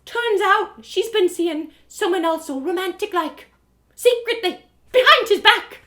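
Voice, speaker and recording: A woman's voice: very high-pitched, a little slow, sharp tone, pitched above the performer's own voice, exaggerated voice. A woman voicing a motherly, gossipy innkeeper with folksy, effusive speech for an audio story. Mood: outraged. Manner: folksy, effusive, rustic turns of phrase. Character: gossipy motherly innkeeper woman, merry but quick to outrage.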